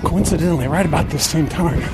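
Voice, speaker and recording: A middle-aged man talks as a podcast host in a conversational style. His voice sits a little high for a man. Music plays clearly behind him. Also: fast. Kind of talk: conversational podcast monologue and interviewing.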